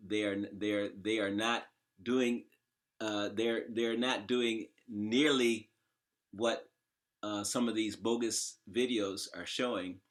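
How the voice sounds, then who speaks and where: A man hosting a discussion, talking off the cuff in a halting, emphatic and opinionated way. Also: on the low side, steady tone. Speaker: host interviewer. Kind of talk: conversational, off-the-cuff commentary.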